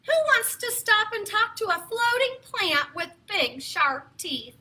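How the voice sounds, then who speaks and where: Female children's storyteller, very high voice, slow expressive pacing, playful read-aloud delivery. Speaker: children's storytime host and reader.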